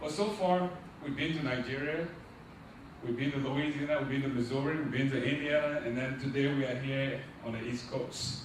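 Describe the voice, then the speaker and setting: A man's voice: medium pitch, fairly even intonation, normal speed. Guy giving a preachy pep talk, middle-aged, sounds far from the mic, big echoey hall, lots of hiss. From Liberia. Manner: preacherly, asks the crowd questions and rises to loud emphatic peaks. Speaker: nonprofit founder giving a launch speech. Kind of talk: motivational speech to an audience. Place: large echoey event hall.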